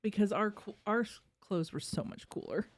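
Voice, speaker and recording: A woman's voice: mid-range voice, normal speed, dry recording. Emphatic woman bantering on a podcast. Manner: emphatic, playfully argumentative. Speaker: podcast co-host. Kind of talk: casual banter.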